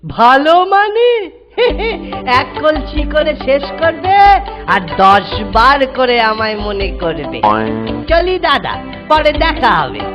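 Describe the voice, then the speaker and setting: Casting: witty male trickster, unhurried and cheeky, lively intonation, cartoon comedy over music. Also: high voice, dull audio, heavily acted. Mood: smug. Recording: background music under the voice.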